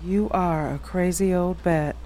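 Actress as sardonic american daughter, lowish voice, slow and deadpan, noisy background. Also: female voice, lightly acted. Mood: taken aback. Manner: dry, hesitant, deadpan. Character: guarded, sardonic adult daughter back in her hometown. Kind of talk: naturalistic audio drama acting. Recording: noticeable background noise.

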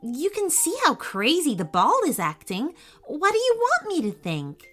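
A woman's voice: sits high, huge pitch swings, a little slow, pushed and bright, soft background music, pitched above the performer's own voice, exaggerated voice. A careful, sensible young girl from a storybook, speaking hesitantly and with worry, as a woman reader voices her. Her voice rises and falls widely while she weighs each choice. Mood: cautious.

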